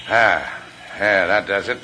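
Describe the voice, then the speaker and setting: An actor playing a tough, plain-spoken boat skipper, clipped and wry, in old-time radio drama style. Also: male voice, low-pitched, slow pace. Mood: wry, gruff. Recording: old radio recording with faint hiss.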